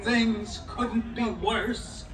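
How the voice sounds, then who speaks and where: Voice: medium pitch, steady tone, drawn-out words. A performer playing a harried, good-natured village father in a live puppet show, exclaiming and pleading in comic exasperation. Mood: pleading, wry. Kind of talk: live puppet show folk tale acting. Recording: echoey live show, distant mic.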